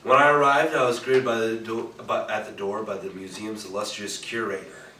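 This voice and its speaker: A cool hard-boiled detective, acted by a man tells his story in a lowish voice with measured, wry delivery. It has the style of 1940s radio noir played on stage. The recording is echoey and distant, with faint noise. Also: fairly even intonation, pushed and bright.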